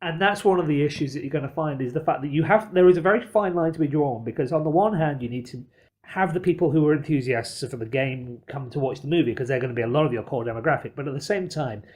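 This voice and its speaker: A man's voice: a little high, fast talker, darkish tone. A man co-hosting a podcast, working through his arguments in a casual, discursive way. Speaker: podcast co-host.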